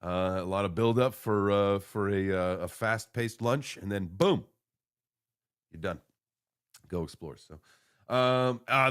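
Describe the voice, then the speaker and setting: Livestream host: American man, early forties, lower-pitched, laid-back and informal, loose off-the-cuff chat. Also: a bit bright. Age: early 40s. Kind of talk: casual, rambling morning livestream chat.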